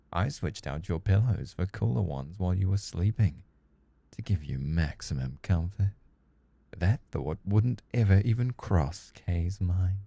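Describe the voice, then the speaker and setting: A man's voice: low-pitched, vocal fry, mellow, no echo, heavily acted. A man playing a condescending, melodramatic yandere rival sibling in a comedic audio roleplay, with pompous, theatrical delivery.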